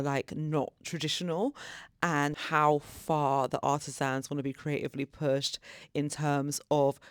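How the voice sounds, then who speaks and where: A man's voice: on the high side, no room sound, clean studio sound. A man hosting a podcast in a casual, effusive and enthusiastic way. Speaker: podcast host. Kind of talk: casual presenting and interviewing.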